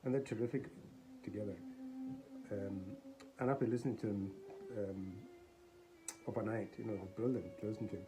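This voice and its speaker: A man chatting casually to viewers in a rambling, halting way about something he bought. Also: lowish voice, fairly even intonation, over music, poor audio. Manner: rambling, hesitant, halting delivery. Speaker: collector showing a charity shop buy. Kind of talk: casual vlog chat. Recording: faint background noise.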